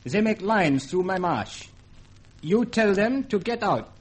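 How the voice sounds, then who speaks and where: A forbidding old Creole man. His voice sits a little high for his years and swings widely in pitch. He speaks in terse, insistent phrases, brusque and secretive, in the manner of old radio drama. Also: animated, slow, husky, exaggerated voice. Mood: stubborn. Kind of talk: vintage radio drama acting.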